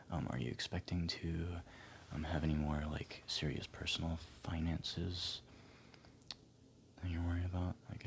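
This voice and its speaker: A man speaking softly, often near a whisper, in a gentle, hesitant, encouraging ASMR roleplay as an advisor talking to a client. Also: a bass voice, gravelly, slight background noise. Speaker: ASMR performer playing a financial advisor. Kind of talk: ASMR roleplay, soft-spoken one-sided client consultation.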